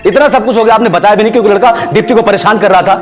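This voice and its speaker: A man's voice: high-pitched, racing, lightly acted. An actor playing an emotional, insistent man close to a worried family in a TV crime drama, with music behind. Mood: agitated, pleading. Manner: emotional, pleading. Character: agitated, insistent male friend of a victim's family. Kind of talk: Hindi TV crime serial acting.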